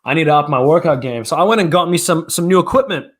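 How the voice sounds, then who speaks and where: Young guy cohosting a hoops podcast, hyped and playful, voice a bit high. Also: fast talker. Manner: loud, animated, playful hype. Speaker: podcast co-host. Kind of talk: hyped sports banter.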